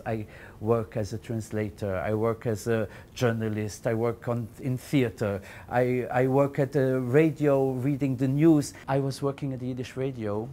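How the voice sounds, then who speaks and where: A middle-aged man with a warm, mellow voice recounts his own story. He talks reflectively and haltingly, often doubling back on words. A faint low rumble hums beneath his voice. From Belgium. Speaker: interviewee, founder of a Yiddish library. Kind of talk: personal testimony to camera.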